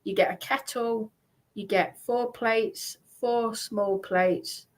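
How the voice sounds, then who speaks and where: A woman's voice: on the high side, fairly even intonation, takes it slowly, poor audio. Blunt, sweary woman ranting casually. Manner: sweary, blunt, repeats points emphatically. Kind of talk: casual livestream chat and rant.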